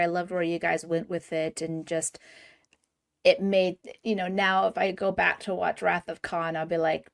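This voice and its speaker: A woman hosting a fan podcast, chatting with a guest in an enthusiastic, chatty way. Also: lowish voice. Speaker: podcast host. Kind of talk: casual podcast interview.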